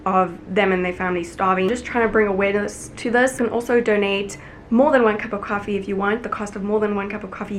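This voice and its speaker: Young woman, mid-pitched, chatty vlogger with faint hiss behind her. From South Africa. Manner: earnest, warm appeal to viewers, chatty. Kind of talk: casual direct-to-camera vlog talk.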